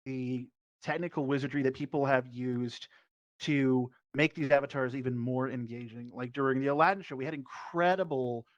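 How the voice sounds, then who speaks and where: A man's voice: medium pitch, steady tone, moderate pace, no room sound. Enthusiastic man on a panel, muffled dry audio. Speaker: panelist, performer. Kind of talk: casual panel discussion. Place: virtual reality social app session. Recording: muffled online voice chat.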